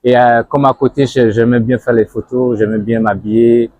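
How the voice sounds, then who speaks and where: Young guy getting interviewed, medium pitch, hesitant chatty answers, no echo at all. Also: steady tone, normal speed, mellow, dull audio. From Zurich, Switzerland. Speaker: interviewee, banker and model.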